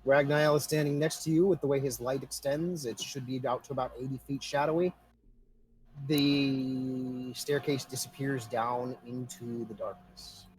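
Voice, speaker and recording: A man's voice: mid-range voice, steady tone, moderate pace, darkish tone, a little hiss or hum, rough recording. A man narrating a tabletop game casually as game master.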